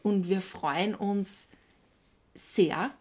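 A woman's voice: mid-range voice, drawn-out words, a little mellow, breathy edge. A woman with an Austro-Bavarian accent co-hosting a podcast in a casual, enthusiastic, exclaiming chat. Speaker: podcast co-host.

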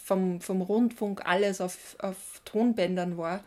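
A woman's voice: medium pitch, steady tone, slow, warm tone. A woman with an Austrian accent chatting casually as a podcast co-host.

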